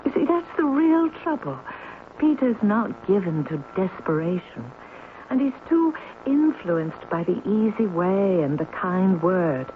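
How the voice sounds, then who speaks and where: A woman's voice: mid-range voice, big pitch swings, a little slow. An actress playing a cool, candid young woman in a radio drama, matter-of-fact and decisive. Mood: cool, resigned. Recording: tinny old radio with faint noise.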